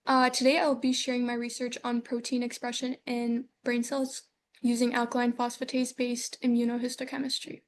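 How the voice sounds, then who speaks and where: A woman's voice: a little high, monotone, fairly quick, a little hiss or hum. A young woman from Ukraine giving a student research presentation over a video call, earnest and full of um fillers. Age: young adult.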